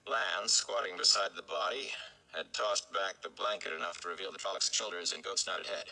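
Young male narrator, deep and creaky, dramatic fantasy read-aloud. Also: fairly even intonation, normal speed, sharp tone, rough recording. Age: young adult. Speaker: audiobook-style reader for a fan read-along. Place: small indoor room.

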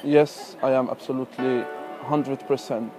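A man's voice: mid-range voice, fairly even intonation, normal speed, over music. A young man, a business student, speaking emphatically in a testimonial interview.

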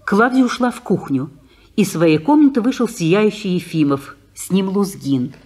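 Woman narrating stage directions calmly. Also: mid-range voice, moderate pace.

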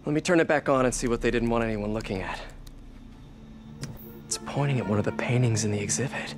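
A young man with an American accent playing a wry, quick-witted superhero in a video game, conversational and slightly awkward. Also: mid-range voice, close to an everyday voice. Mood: wry, focused. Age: young adult. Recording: game music behind the voice.

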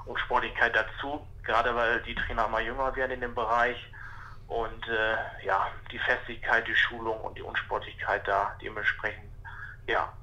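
A man's voice: mid-range voice, flat delivery, moderate pace, pushed and bright. A man, giving a spontaneous interview as a football referee over a telephone line. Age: 30s.

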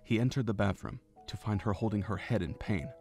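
A man narrating a fan audio drama in an even, measured reading voice. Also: on the low side. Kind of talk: scripted story narration. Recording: home narration with faint music.

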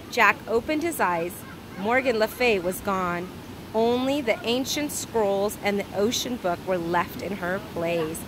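A woman reading a children's story aloud expressively, like a teacher, recorded outdoors. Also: medium pitch. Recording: clear outdoor ambient noise.

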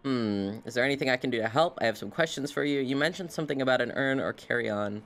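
A man's voice: medium pitch, fast, a bit bright. A man streaming a game with casual live commentary, full of playful sing-song asides.

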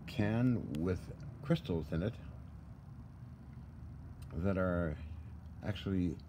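A man giving a casual, hands-on walkthrough of equipment in a halting, muttering way with folksy asides, recorded on a handheld device in a workshop. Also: deep voice, warm tone. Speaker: radio restorer. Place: indoor cluttered workshop. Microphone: handheld camera or phone, behind the lens. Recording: noisy handheld recording with room ambience.

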